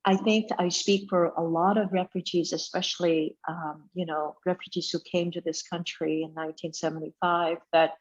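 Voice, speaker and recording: A middle-aged woman from Vietnam, a chef and restaurant owner, talking casually in an interview with many pauses and fillers. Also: fairly low pitch, flat delivery, muffled recording.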